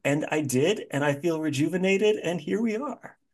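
A man's voice: on the high side, moderate pace, a little mellow. A man hosting a podcast in a casual, enthusiastic and admiring way. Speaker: podcast host. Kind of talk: casual chat.

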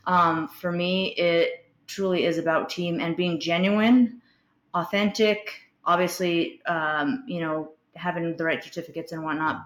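A woman's voice: on the low side, a bit bright, roomy sound. A woman who runs a spa, talking as a podcast guest in an earnest, hesitant way with many fillers. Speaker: med spa owner.

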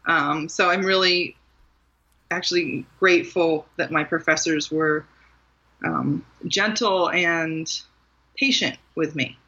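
A woman's voice: deep voice, takes it slowly, sharp tone, husky, muffled recording. An American woman talking casually and reflectively as the guest in an interview about her own experience. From Maryland, US. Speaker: guest author and writing teacher.

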